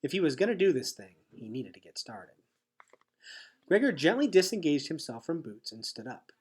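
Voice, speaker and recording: A man with a husky voice reading a children's novel aloud as expressive storybook narration. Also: fairly high pitch, moderate pace. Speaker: teacher reading a chapter aloud.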